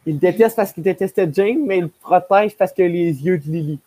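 A man's voice: sits high, a little fast, mellow, dry recording. A young man with a Quebec French accent chatting effusively in a group video call, repeating himself for emphasis. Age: young adult. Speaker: podcast panelist. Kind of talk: casual group discussion. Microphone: wired earbuds on a video call.

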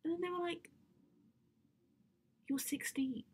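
A woman's voice: very high-pitched, fairly even intonation, slow pace, pitched above the performer's own voice. A woman performing a comedic stage monologue as a dramatic, pleading girl of nearly sixteen, exasperated and whiny. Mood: excited, exasperated.

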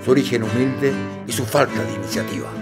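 An actor playing the wry storyteller who narrates a domestic comedy as a scripted radio drama. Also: male voice, mid-range voice, fast talker, lightly acted. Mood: wry storytelling. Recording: guitar music behind the voice.

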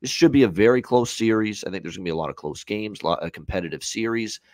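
Deep-voiced Canadian man analysing sports. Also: lively intonation, quick pace, no room sound. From Hamilton area, Ontario, Canada. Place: small home room on a video call.

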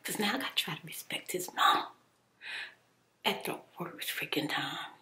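A woman's voice: lowish voice, animated, normal speed, sharp tone. A woman telling a personal story in a heated whisper, emphatic and exasperated, swearing and repeating herself in casual slang.

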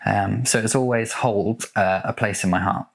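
Low-voiced man, hesitant devotional talk. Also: fairly even intonation, normal speed, gravelly. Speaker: church member presenting a devotional video. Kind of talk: casual devotional talk to camera. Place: small home room with bookshelves, indoors.

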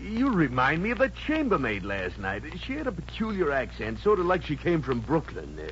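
Blustery boss, wildly swinging pitch. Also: male voice, huge pitch swings, moderate pace, fairly bright tone. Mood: curious. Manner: blustering, exclamatory. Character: blustery, irritable male boss. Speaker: supporting actor in radio comedy. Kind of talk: 1950s radio comedy acting. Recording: muffled, faintly noisy 1950s radio recording.

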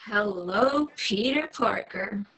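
A woman's voice: medium pitch, animated, takes it slowly, a bit bright, breathy edge, exaggerated voice, poor audio. A woman reading the part of a wary, lonely, sardonic online dater in her late twenties, hesitant and wry, in a film table read. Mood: awkward, amused. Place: small room at home, video call. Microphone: computer mic on a video call.

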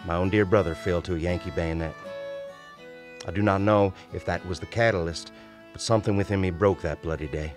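A man performs a haunted Civil War lieutenant in an old-time radio horror drama. His low voice tells the tale gravely and deliberately, in formal journal language, with music clearly behind him. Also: close to an everyday voice. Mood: grim, intense. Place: small radio studio. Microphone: vintage-style studio microphone at close range.

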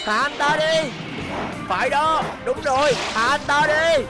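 A man's voice: very high-pitched, unhurried, over loud music. A man voicing a small, earnest, protective robot boy in a dubbed cartoon. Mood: urgent.

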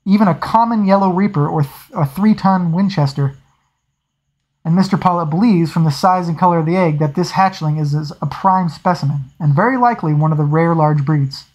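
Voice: mid-range voice, fairly quick, a little mellow, natural voice, rough recording. A reader voicing a stern naval captain. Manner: formal, clipped, angry when challenged.

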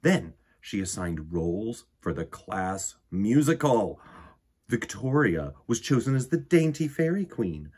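A man in his forties hosting children's storytime, reading and chatting in a theatrical, expressive way. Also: lowish voice, a little slow, slightly rough. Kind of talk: animated picture-book reading and chat for children. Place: indoor room with bookshelves.

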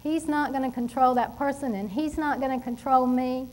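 A middle-aged woman preaching a sermon from a church pulpit, emphatic and animated, with asides to the congregation. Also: high-pitched, moderate pace. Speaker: pastor. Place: church stage at a wooden pulpit.

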